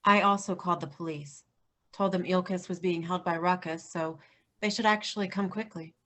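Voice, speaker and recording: A woman's voice: fairly low pitch, fairly even intonation, normal speed, a bit bright, natural voice. An actress playing a feisty, capable grandmother vigilante in a staged play reading. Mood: matter-of-fact.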